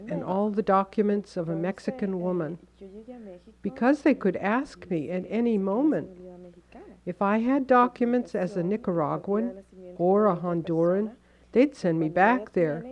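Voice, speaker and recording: A woman reading a translated first-person account as a measured, earnest voice-over. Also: mid-range voice, a little mellow.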